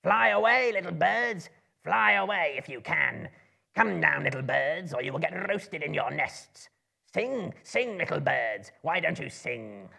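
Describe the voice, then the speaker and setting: A man voicing cruel, gleeful goblins in a taunting sing-song chant, with a harsh gravelly voice, in a dramatic storybook reading. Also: a little high, animated, measured pace, bright, forceful tone, voice pushed up, heavily acted. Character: jeering band of cruel goblins, gleefully singing.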